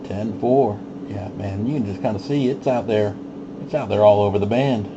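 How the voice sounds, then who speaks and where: A low-pitched man chats easily in CB radio lingo. The audio is dull and muffled, with clear static and hum behind him. He sounds like a laid-back operator hosting a night on the band. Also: moderate pace. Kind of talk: casual CB radio chat. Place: home base radio station.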